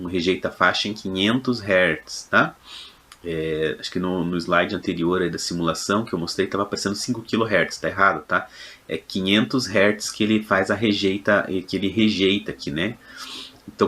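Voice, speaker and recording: A man with a low-pitched voice and a Brazilian accent narrates an informal technical tutorial. He explains like a lecturer, somewhat hesitantly, often ending phrases with small check-in tags. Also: pushed and bright. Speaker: electronics lecturer.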